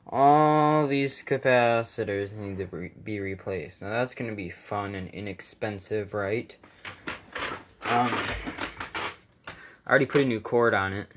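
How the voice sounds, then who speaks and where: A man with a fairly low voice talks casually through a hobby walkthrough. He is hesitant and rambles, correcting himself as he goes. The handheld recording carries faint noise. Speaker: hobbyist vlogger. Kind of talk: casual, unscripted repair walkthrough. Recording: noisy handheld camera recording, clicks.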